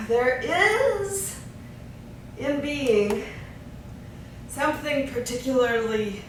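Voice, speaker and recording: A woman acting an anguished ranter in an avant-garde stage monologue, drawing out words and swinging from hushed to forceful. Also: high-pitched, animated, full character voice. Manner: drawn-out, dramatic, swinging between hushed and forceful. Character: anguished female ranter against human existence. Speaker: stage actor. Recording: echoey theatre, distant mic, faint noise.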